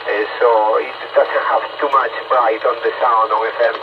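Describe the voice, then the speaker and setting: A man's voice: mid-range voice, level tone, normal speed. A man talking over two-way radio in a courteous, hesitant way, repeating words as he chats about equipment. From Spain. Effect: two-way radio transmission. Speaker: amateur radio operator. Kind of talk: casual technical radio chat. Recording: muffled, very noisy CB radio reception.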